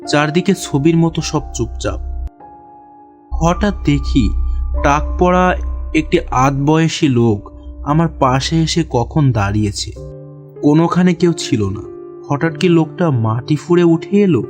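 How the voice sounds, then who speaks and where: A man narrating a horror story in the first person with a deliberate, suspenseful, literary delivery. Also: a little high, a little mellow. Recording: music behind the voice.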